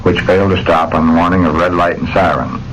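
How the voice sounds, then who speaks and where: A man's voice: low voice, little pitch movement, a little fast. A man speaking as chief of police, giving scripted radio commentary with stiff, formal official delivery. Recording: noisy vintage radio broadcast.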